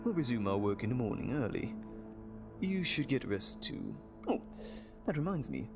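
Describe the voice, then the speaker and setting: An actor playing a sadistic, gleeful evil doctor in an audio drama, condescending and mock-tender, with theatrical, drawn-out phrasing. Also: male voice, medium pitch, very animated, music in the background. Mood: silky menace.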